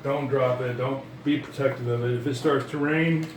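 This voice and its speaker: A man giving a casual, halting demonstration of sound gear, often repeating and rephrasing himself. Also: medium pitch, fairly even intonation, distant mic. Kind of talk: casual explanatory demonstration chat. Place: dining room at home, indoors. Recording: roomy, noisy home recording off mic.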